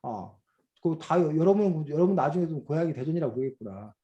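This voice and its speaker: A middle-aged man teaching a children's reading class over a video call, explaining slowly with many fillers and questions to the students. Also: on the high side, expressive, mellow, highs cut off. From South Korea. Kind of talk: online class lecture to children.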